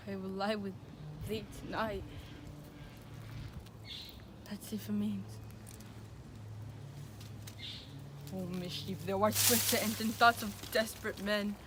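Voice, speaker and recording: A woman's voice: medium pitch, fairly even intonation, measured pace, pauses often, very noisy, close to an everyday voice. A woman playing a lovesick young man driven desperate by grief, in declamatory, theatrical Shakespearean verse. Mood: grim, brooding. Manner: declamatory, impassioned.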